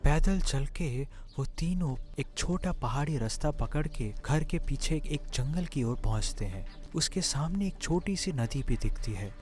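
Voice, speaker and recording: A man's voice: mid-range voice, animated, a little slow, hardly any pauses, soft background music, close to an everyday voice. A man narrating a cartoon story in Hindi as a scripted storyteller.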